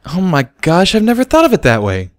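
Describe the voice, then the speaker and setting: A man voicing an excitable, panicky teenage unicorn mare in a dramatic storybook reading. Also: high voice, a little fast, pitched above the performer's own voice. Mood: excited.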